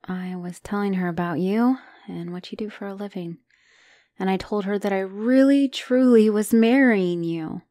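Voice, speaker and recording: Woman acting a soft, mellow, anxious spider fiancée. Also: medium pitch, moderate pace, dry recording, clean studio sound, lightly acted. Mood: hesitant confession. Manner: tender, hesitant, often dropping to whisper. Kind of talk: ASMR roleplay acting.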